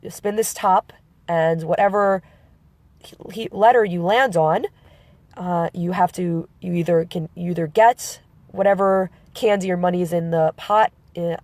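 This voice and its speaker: A woman with a slight cold talks to her live-stream viewers in a somewhat low voice. She explains at an easy pace, halting and self-correcting as she goes, and the sound is close and dry with no room around it. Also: darkish tone, no room sound.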